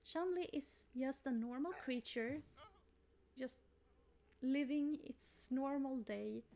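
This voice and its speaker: A woman's voice: high-pitched, slow, gravelly, dark tone. A young Swedish woman with a Swedish accent, chatting and rambling over a game she plays, often apologizing and squealing over cute things. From Sweden. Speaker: gaming YouTuber. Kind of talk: casual let's play commentary. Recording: faint game music behind.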